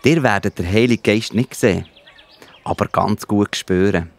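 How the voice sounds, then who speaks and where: Low-voiced Swiss man acting as gentle Jesus. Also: normal speed, vocal fry. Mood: calm, patient. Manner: explains patiently in simple words. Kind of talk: children's Bible audio play.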